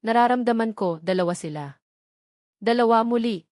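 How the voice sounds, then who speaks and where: A woman's voice: on the high side, moderate pace, a little mellow, no room sound, clean studio sound, natural voice. Woman voicing a tender, devoted young husband. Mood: tender, hopeful.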